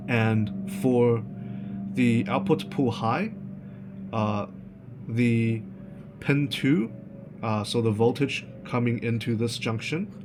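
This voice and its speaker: A man giving an informal, unscripted technical explanation as a tutorial presenter, halting and thinking aloud as he goes. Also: lowish voice, background noise.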